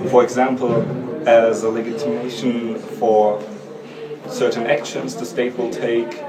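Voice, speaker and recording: Flat, measured young man in a crowd. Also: fairly low pitch. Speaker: event participant. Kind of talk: analytical statement. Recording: roomy and distant with crowd noise.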